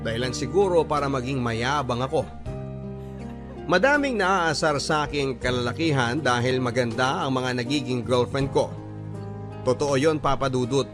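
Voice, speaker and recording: A man with a Filipino accent playing a cocky young tomboy, narrating a letter in a measured, confessional way for a radio drama. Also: medium pitch, music in the background, lightly acted. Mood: confessional, proud.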